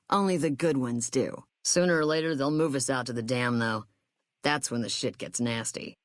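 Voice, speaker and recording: A woman's voice: very deep voice, no echo, studio quality. A woman voicing a brash, hard-bitten female army sniper corporal in a video game, with a blunt, sardonic, tough delivery. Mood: dry, matter-of-fact.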